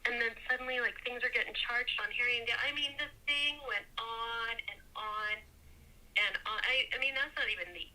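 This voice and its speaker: A woman's voice: a little high, brisk, pushed and bright, low-quality recording. A woman telling a personal story casually as a caller, rambling with emphatic repetition and casual swearing. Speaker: caller seeking tech help.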